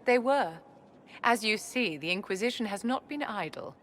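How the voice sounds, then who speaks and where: An actress playing a scheming aristocratic enchantress in a game, imperious and silkily condescending. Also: female voice, a little high, expressive, normal speed, fairly bright tone, slight background noise. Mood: gracious, poised. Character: haughty, scheming aristocratic enchantress courtier, poised. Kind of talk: dramatic game acting.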